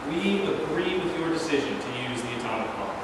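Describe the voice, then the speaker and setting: A man's voice: a little high, lively intonation, fairly quick, natural voice. A man reading the part of a resolute, plain-spoken president in a staged play, recorded from afar in an echoey room. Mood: solemn. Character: resolute, plain-spoken male American president defending his decision. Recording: very echoey hall, far mic, noisy.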